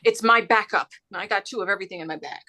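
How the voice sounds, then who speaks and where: A woman's voice: mid-range voice, quick pace, pushed and bright. A woman acting a brash, indignant mother in a comedy table read. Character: brash, indignant mom arguing on the phone.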